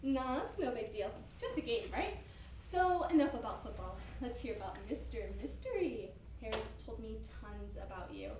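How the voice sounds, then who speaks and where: Woman playing an eager awkward young date in a stage comedy, high voice, noisy far-off recording. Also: expressive, normal speed, reverberant, close to an everyday voice. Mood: apologetic, chatty. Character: eager, apologetic young woman on a first date, football-obsessed. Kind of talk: amateur stage comedy acting.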